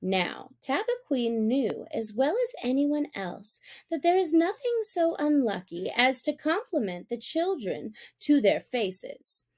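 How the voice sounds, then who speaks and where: A woman narrating a classic story as an audiobook reader, with deliberate, expressive phrasing. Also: high-pitched.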